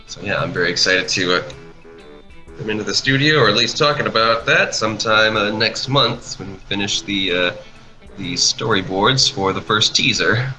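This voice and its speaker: A man chatting casually as a guest, halting but enthusiastic as he talks about a creative project. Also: on the low side, pushed and bright, faint music behind, low-quality recording. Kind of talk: casual chat, commentary over an art video.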